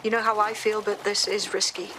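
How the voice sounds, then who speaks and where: An actress playing a brisk, blunt female hacker leader, in a video game. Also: mid-range voice, flat delivery, bright, forceful tone, slight background noise, lightly acted, poor audio. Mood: determined.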